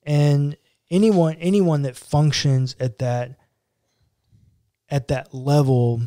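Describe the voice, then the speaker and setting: A young man, a pastor, talking on a podcast in a measured, earnest and reflective way. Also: on the high side, steady tone, warm tone, no echo, clean studio sound. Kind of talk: casual chat. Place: small home studio room. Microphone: boom-arm podcast mic.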